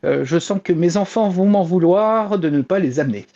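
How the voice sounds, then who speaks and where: A man's voice: high voice, animated, moderate pace, warm tone, highs cut off. A man chatting casually as a panelist reporting news on a talk show, hesitant and searching for words. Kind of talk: casual chat.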